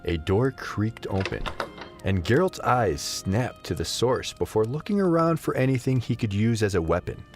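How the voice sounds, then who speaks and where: A man's voice: fairly low pitch, lively intonation, moderate pace, faint music behind. A man narrating a scripted fantasy audio drama in a dramatic storytelling style.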